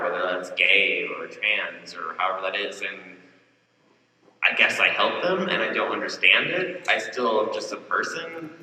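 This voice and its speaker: A man's voice: fairly low pitch, bright, forceful tone, recorded from a distance. A man speaking candidly as a panelist, joking and rambling, recorded from afar in a convention hall. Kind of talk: panel discussion. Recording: echoey hall, mic from afar.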